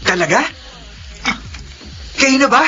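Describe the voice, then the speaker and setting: An actor playing an excitable young man, a best buddy, in an exaggerated Filipino audio drama. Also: extremely high pitch, slow pace, heavily acted. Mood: excited. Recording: dull lo-fi audio.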